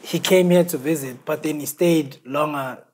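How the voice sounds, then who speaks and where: A man from South Africa hosting a podcast, asking questions in a relaxed way and filling in with short agreeing yeahs. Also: fairly high pitch, moderate pace, breathy edge. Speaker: podcast host. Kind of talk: casual interview chat.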